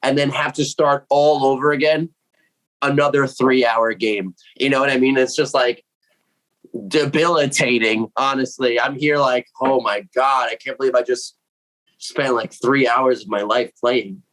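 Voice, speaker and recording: Animated young man in casual chat. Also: medium pitch, a little slow, low-quality recording. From Puerto Rico. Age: 20s. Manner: animated, slangy, swearing, acts out his own reactions. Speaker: metal vocalist interviewee. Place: home room with cats.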